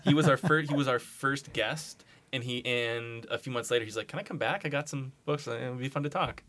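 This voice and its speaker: A man's voice: mid-range voice, brisk, fairly bright tone. A man chatting casually as a podcast guest, joking in a self-deprecating way and swearing freely. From Scotland. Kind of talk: casual chat.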